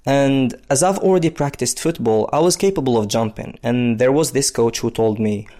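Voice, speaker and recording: A man from the Democratic Republic of Congo, in his thirties, tells his own story. His voice sits in a middle register. He talks conversationally and often pauses on fillers. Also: mid-range voice, warm tone. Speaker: interviewee, basketball player. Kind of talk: personal storytelling.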